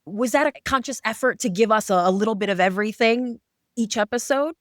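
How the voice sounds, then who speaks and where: A woman's voice: on the high side, a little fast, no room sound. Woman podcast host, dry studio-like sound. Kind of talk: conversational hosting.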